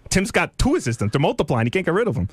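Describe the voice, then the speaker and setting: A man with a nasal voice arguing loudly and needling a coworker on a radio show. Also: fairly high pitch, big pitch swings. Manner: loud, needling and quick. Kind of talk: heated on-air argument.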